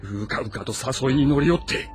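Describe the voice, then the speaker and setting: An actor playing a proud, embittered ageing warlord with haughty, brooding lordly speech in an audio drama. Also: male voice, mid-range voice, normal speed, natural voice. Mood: bitter anger. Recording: music behind the voice.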